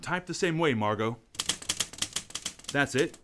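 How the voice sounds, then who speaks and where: Lamont Cranston is a composed gentleman sleuth in an old-time radio drama. He speaks in a measured, commanding way, pressing on with quiet resolve. His voice sits at an ordinary middle pitch. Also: expressive, noisy background. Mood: determined. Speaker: radio actor.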